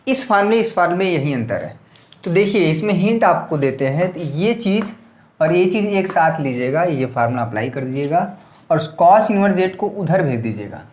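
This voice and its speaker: A man's voice: fairly high pitch, reverberant. A man teaching a maths lesson at a board with a slightly sore throat, talking casually and often checking that students follow. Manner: chatty, repeats checks like "clear?" to students.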